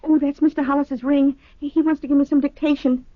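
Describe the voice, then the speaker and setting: An actress playing a chatty, eager, slightly scatterbrained office secretary in a 1970s radio drama. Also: female voice, high-pitched, little pitch movement, quick pace, close to an everyday voice. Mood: chatty, helpful. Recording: dull lo-fi old radio recording.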